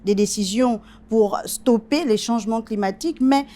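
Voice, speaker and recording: A woman's voice: a little high, moderate pace, warm tone. A woman hosting a television interview, asking questions and prompting her guest with short acknowledgements. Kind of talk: broadcast interview.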